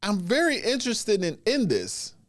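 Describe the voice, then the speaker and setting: A man hosting a wrestling podcast in casual solo commentary, sounding stuffy and congested as he gets over a cold, and talking in drawn-out phrases with pauses. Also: sits high, wildly swooping pitch, dry recording, clean studio sound.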